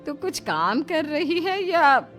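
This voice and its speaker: A woman voicing a bossy village mother-in-law who calls out sharp orders, for an animated story. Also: high-pitched, slow pace, faint music behind, pitched above the performer's own voice, exaggerated voice. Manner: calls out loudly, sharp commands.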